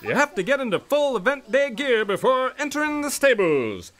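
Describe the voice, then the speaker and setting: Excitable high-voiced elf announcer. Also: male voice, heavily acted. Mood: officious. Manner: belting, drawn-out ring-announcer proclamations. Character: excitable elf event announcer, showy and officious, male. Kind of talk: dramatized Christmas storybook.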